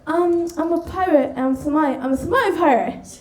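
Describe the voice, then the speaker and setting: Giggly, high-pitched woman doing stand-up. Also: fast. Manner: giggly, laughs through her own lines. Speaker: stand-up comedian. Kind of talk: stand-up storytelling. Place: on stage in a comedy venue. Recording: echoey live comedy venue. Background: light audience noise.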